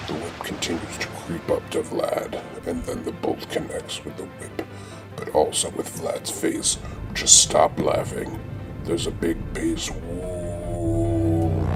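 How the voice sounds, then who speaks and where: A man with a gravelly voice narrating a comedy script in a deadpan, slangy, mock-dramatic way. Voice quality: very deep, gravelly. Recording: music behind the voice.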